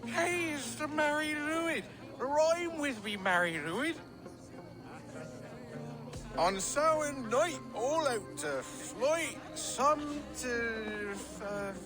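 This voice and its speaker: A man's voice: very high voice, big pitch swings, takes it slowly, exaggerated voice. A man playing a drunken, bitter and boisterous glassmaker in a video game, rambling and theatrical. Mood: drunken merry. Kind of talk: video game character dialogue. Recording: music behind the voice.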